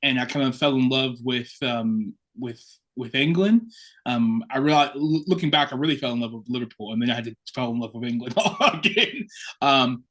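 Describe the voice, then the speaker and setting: A man's voice: mid-range voice, normal speed, fairly bright tone. A man speaks as a guest on a podcast. He tells his story in an open, reflective way, in the easy manner of casual conversation. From Chicago, United States. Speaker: assistant curate, guest. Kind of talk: casual interview talk.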